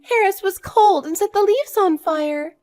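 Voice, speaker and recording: A woman's voice: very high-pitched, unhurried, a little mellow, soft background music, voice pushed up. A woman voicing a frightened young granddaughter, plaintive and pleading, in an acted family story. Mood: anxious, explaining.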